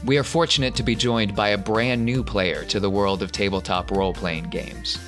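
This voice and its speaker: A man with a fairly low voice works as game master and podcast host. He narrates the game, with faint music behind him. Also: fairly even intonation, normal speed. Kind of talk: hosting and narrating an actual-play podcast.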